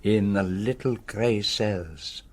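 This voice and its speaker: An actor playing a cerebral, self-assured Belgian detective in a radio play, speaking precisely and formally with a French-speaking Belgian accent. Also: male voice, lowish voice, darkish tone. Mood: measured, self-assured. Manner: precise, deliberate, formal phrasing. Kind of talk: radio drama acting.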